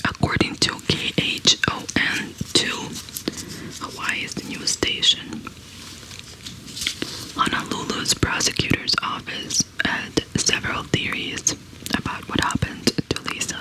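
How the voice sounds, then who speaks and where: A woman in her thirties who hosts ASMR videos, softly whispering a true crime story while she crochets, close to a studio mic. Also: slow pace, poor audio. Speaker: ASMR host. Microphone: large studio condenser mic close to her face.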